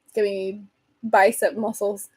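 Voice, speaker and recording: A woman hosting a casual livestream, rambling and answering viewer comments, her voice getting hoarse from talking so much. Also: mid-range voice, level tone, normal speed, a little mellow. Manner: chatty, rambling, reads and answers comments, laughs often. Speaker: livestream host chatting with viewers.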